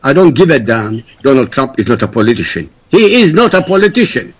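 A man's voice: medium pitch, very animated, brisk, breathy edge. A man from Iraq, a native Arabic speaker, debating politics in a blunt, boastful and emphatic manner. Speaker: panel guest.

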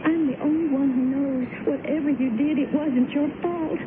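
A tinny, noisy old radio drama carries a woman playing a devoted young wife. Her voice is high, earnest and urgent, full of anxious pleading. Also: fairly even intonation, moderate pace, lightly acted.

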